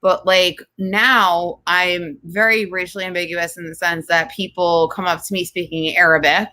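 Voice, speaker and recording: Blunt, bright-voiced Jersey woman. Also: fairly low pitch, little pitch movement, normal speed. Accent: New Jersey. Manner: blunt, swearing, laughing often. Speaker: podcast co-host. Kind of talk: casual chat.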